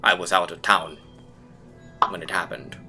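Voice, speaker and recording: A game master voicing a cagey older man who once knew the hero's late father and talks in a slow, lecturing way. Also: sits low, little pitch movement, pushed and bright, faint music behind, pitched below the performer's own voice, full character voice. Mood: guarded. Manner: cagey, lecturing, speaks slowly.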